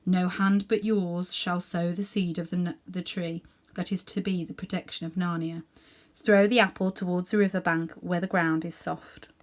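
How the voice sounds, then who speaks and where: A woman's voice: on the low side, level tone, moderate pace, natural voice. Woman voicing a solemn, commanding great lion.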